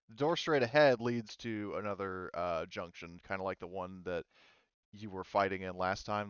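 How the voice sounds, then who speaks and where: A low-pitched man with a smooth, clean tone narrates a tabletop game off the cuff. He is informal and halting, with some swearing, on a dry, slightly dull recording. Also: fairly bright tone, dry recording. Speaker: game master of a tabletop roleplaying game. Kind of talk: casual improvised game narration and table chat.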